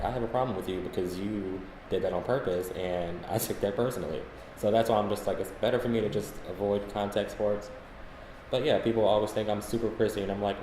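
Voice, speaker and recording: A man's voice: on the low side, dark tone. A man co-hosting a podcast, telling casual anecdotes in a conversational way. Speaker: podcast co-host. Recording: echoey room, faint background noise.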